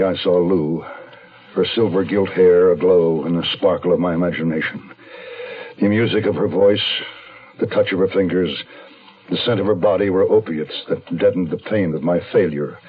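An American actor playing a hunted, weary man on the run who tells his own story in a brooding, deliberate radio mystery style. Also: low voice, husky. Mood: wistful. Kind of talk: 1970s radio mystery drama, first-person noir narration. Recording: lo-fi phone-like 1970s radio, faint music.

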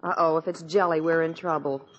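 A woman's voice: low voice, fairly even intonation, a little fast, close to an everyday voice. A woman playing a frazzled, wryly grumbling American suburban homemaker in a 1950s radio sitcom. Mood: wry, exasperated. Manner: wry, exasperated. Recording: muffled old-time radio recording.

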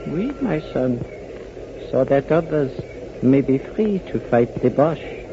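An actor playing a frail, shrewd French shoemaker in his eighties, with a French accent and halting speech, in 1940s radio drama style. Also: medium pitch, expressive. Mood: gentle, knowing. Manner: slow, halting delivery. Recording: noisy, muffled 1940s radio recording.

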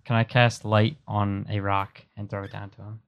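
A man's voice: on the low side, little pitch movement, normal speed, vocal fry, smooth, muffled recording. A man chatting casually as a player in a tabletop roleplaying game.

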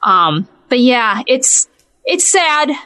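A woman's voice: on the high side, very animated, drawn-out words, bright, forceful tone. A woman co-hosting a casual gaming podcast, giving opinions hesitantly and often circling back to qualify herself. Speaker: podcast co-host.

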